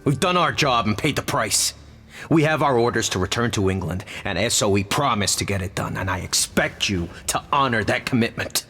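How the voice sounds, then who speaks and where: American army officer, gravelly, animated, indignant, clipped confrontational delivery, game cutscene, music behind. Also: male voice, on the low side, normal speed, full character voice. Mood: stern. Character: gruff, indignant American army lieutenant who obeys orders. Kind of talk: video game cutscene acting.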